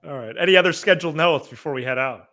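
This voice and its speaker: A man's voice: on the high side, racing, bright, forceful tone. A man co-hosting a sports podcast, speaking in a casual, conversational style.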